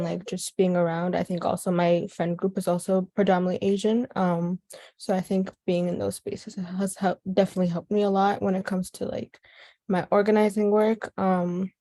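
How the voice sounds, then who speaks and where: Young American woman, interviewee. Mellow tone, mid-range voice, thoughtful casual delivery. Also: medium pitch. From Chicago, US. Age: teens to young adult. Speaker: youth organizer being interviewed. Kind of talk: reflective oral history interview.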